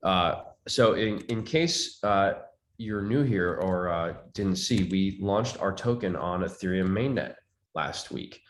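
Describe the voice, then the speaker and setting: A man presenting a company update with a hoarse, raspy voice from a cold, halting with many fillers. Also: low voice.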